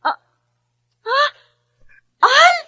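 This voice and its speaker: A woman's voice: very high-pitched, sing-song, very slow, sharp tone, dry recording, full character voice. A Filipino woman playing a loving, long-suffering soldier's wife and new mother in an emotional drama, plaintive yet tender. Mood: hurt, reproachful. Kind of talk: emotional audio-visual drama acting.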